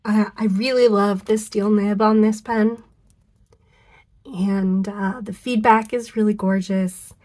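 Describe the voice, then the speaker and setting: A young woman speaks in a congested, nasal voice, clearly fighting a cold and losing her voice. She rambles warmly through her story with frequent ums, likes and restarts, like a casual vlog recorded in her own craft studio. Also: medium pitch, fairly even intonation, slow. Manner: chatty, rambling, self-correcting with many ums and likes. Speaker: fountain pen vlogger. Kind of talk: casual unboxing chat to camera.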